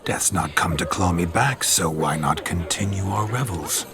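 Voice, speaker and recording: A man's voice: low-pitched, animated, normal speed, vocal fry, music in the background. An actor voicing a flamboyant, carefree dead nobleman with theatrical, flirtatious, florid speech. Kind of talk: game cutscene voice acting.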